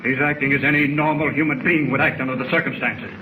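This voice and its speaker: A man plays a stern, principled naval captain, defiant toward his captors. It is 1940s radio acting, grave and indignant. The sound is echoey and a bit distant, with clear noise. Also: medium pitch, steady tone, fast talker, close to an everyday voice. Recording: echoey, distant, noisy old radio recording.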